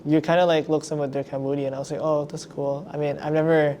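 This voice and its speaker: A man's voice: fairly high pitch, flat delivery, fast, mellow, a little hiss or hum. A young man from Indonesia hosting a podcast, chatting casually and filling his sentences with lots of "like". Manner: chatty, filler-heavy, lots of "like". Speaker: podcast host.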